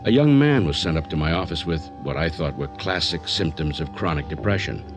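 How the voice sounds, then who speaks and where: An actor playing a composed male psychiatrist who narrates in a measured, clinical manner for a radio drama. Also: a bass voice, lively intonation, over music. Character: composed male psychiatrist recounting a strange case.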